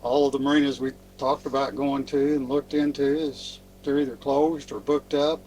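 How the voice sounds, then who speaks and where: A man's voice: medium pitch, level tone, moderate pace, a little mellow, slight background noise, rough recording. An American man chatting casually as a guest about his experiences. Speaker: guest, sailor. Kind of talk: casual chat.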